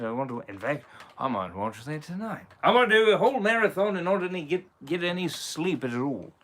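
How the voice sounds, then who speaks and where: A man doing live-stream shout-outs in a theatrical, playful pirate drawl with a put-on pirate English accent. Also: medium pitch. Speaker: impressionist streamer.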